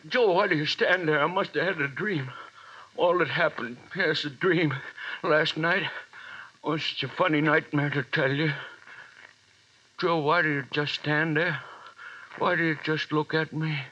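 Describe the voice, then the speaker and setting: A man's voice: fairly high pitch, a little slow, long pauses, dry recording. An actor playing a lone man hallucinating as a giant spider closes in, in a breathless first-person monologue from an old radio horror drama. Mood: frantic, then dazed, pleading. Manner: breathless first-person monologue, panic turning eerily calm. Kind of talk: 1930s radio horror acting. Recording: dull vintage radio recording.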